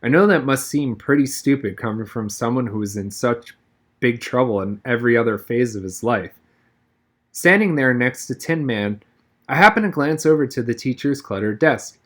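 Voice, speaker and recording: A man's voice: medium pitch, expressive, moderate pace. A man reading a first-person novel aloud expressively, now and then stumbling and rereading a word. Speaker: audiobook reader.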